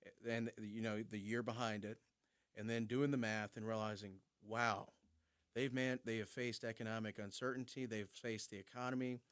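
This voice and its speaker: A man's voice: lowish voice, little pitch movement, moderate pace, fairly bright tone, no echo, clean studio sound. A young man hosting a talk show, affirming his guest and asking follow-up questions. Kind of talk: casual talk show conversation.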